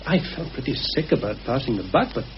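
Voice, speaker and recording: An actor playing a cautious, anxious British conspirator in a radio play, speaking in a deliberate, hesitant, earnest way. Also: male voice, mid-range voice, sing-song, faint music behind, natural voice. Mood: grave, suspicious. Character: anxious, cautious male conspirator confiding a grave suspicion. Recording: muffled, hissy old radio recording.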